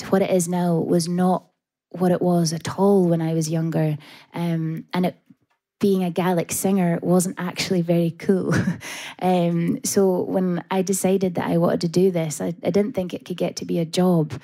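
A Scottish woman, a folk singer, talking softly with many pauses and fillers about her background. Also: on the low side. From Scotland. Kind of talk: interview and live stage talk.

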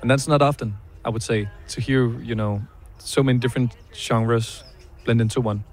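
A man's voice: medium pitch, steady tone, moderate pace, darkish tone. Chatty man interviewing outdoors. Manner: friendly, chatty, with many fillers. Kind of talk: casual interview. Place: city park outdoors.

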